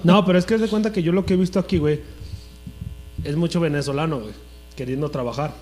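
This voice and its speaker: A young Mexican man talks loosely and full of slang, tagging his sentences with filler. His voice is a bit high yet mellow and dark. A low mains hum runs under the recording. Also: quick pace. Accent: Mexican Spanish. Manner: slangy, says "güey" constantly. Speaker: podcast co-host. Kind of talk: casual chat.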